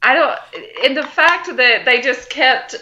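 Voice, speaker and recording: Female podcast co-host, crisp forward brightness, slightly high pitch, effusive and conversational. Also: measured pace, low-quality recording. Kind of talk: casual fan discussion.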